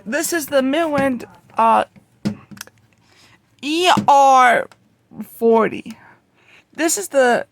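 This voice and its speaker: Casual vlogger, high creaky register, halting and rambling show-and-tell delivery, slightly noisy recording. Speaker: video creator showing a radio collection.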